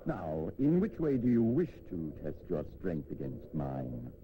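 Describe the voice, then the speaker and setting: An actor playing a wise, kindly old sun in a children's fable, speaking in a measured, unruffled manner. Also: male voice, extremely low pitch, wildly swooping pitch, crowd noise, heavily acted. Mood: calm, wise. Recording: very noisy old 16mm educational film soundtrack.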